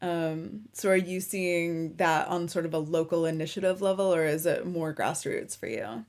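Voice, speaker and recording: A woman's voice: fairly low pitch, moderate pace, a little mellow. A woman hosting a casual interview, chatty and easygoing, asking long rambling questions. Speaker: interview host.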